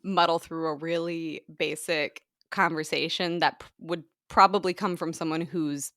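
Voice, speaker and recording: A woman co-hosting a podcast in casual chat, talking into a microphone, chatty and quick to laugh, often trailing off mid-thought. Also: deep voice, fairly even intonation, fairly bright tone, no echo, studio quality. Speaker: podcast co-host.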